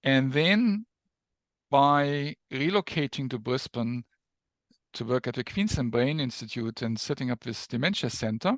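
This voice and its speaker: A man's voice: medium pitch, dry recording. A mature man from Germany with a German accent, speaking as an academic guest explaining things in an interview. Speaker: guest professor, neuroscience researcher.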